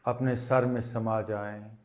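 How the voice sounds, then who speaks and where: Slow-paced man guiding meditation. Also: lowish voice, level tone, a little mellow. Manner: deliberate, instructive, with short phrases and pauses. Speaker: yoga and meditation teacher. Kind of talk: guided meditation instruction.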